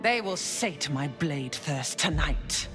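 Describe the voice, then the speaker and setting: A woman voicing a sadistic, bloodthirsty female murderer who hisses her threats in a drawn-out whisper. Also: low-pitched, lively intonation. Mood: gloating. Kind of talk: video game voice acting. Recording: game cutscene with loud music.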